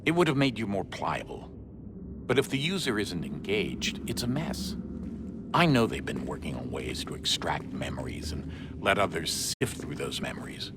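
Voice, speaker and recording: Dryly candid team member, very deep, expressive pitch movement, matter-of-fact, video game dialogue. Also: male voice, moderate pace, gravelly, very noisy, full character voice. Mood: explanatory, concerned.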